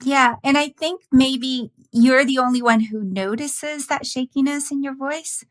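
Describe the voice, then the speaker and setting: A woman's voice: high-pitched, unhurried. A woman hosting a video-call interview, asking prepared questions and responding with affirming remarks through a headset. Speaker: podcast host and interviewer. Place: indoors, home room.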